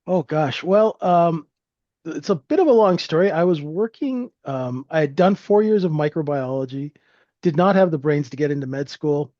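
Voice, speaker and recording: Man, 50s, grew up in Canada; friendly, filler-heavy storyteller; slightly high voice on a dull, dry recording. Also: expressive, moderate pace, dark tone, no room sound. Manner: rambling, friendly, lots of fillers. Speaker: guest trader. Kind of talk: casual interview storytelling.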